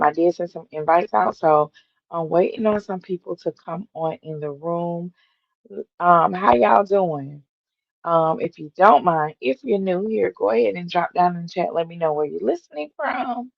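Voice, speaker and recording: A woman hosting a casual live-stream chat, talking to her viewers with a raspy morning voice and many ums. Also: lowish voice, no room sound, dull audio. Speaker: livestream host.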